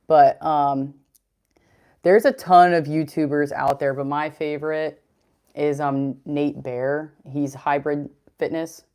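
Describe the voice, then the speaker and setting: A young adult woman with a deep voice hosting a casual fitness livestream, chatty and rambling as she answers chat comments. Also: steady tone, takes it slowly, darkish tone, smooth.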